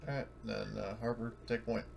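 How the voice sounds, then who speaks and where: A man's voice: medium pitch, level tone, normal speed, creaky, darkish tone. A man playing a tabletop roleplaying game, talking casually through his turn.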